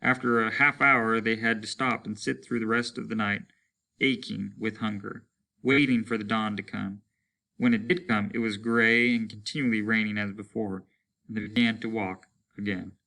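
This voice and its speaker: A man's voice: fairly low pitch, steady tone, normal speed, vocal fry, pushed and bright. A man reading fiction aloud as a teacher, in a dramatic narrator's style. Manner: acts out dialogue with raised voices.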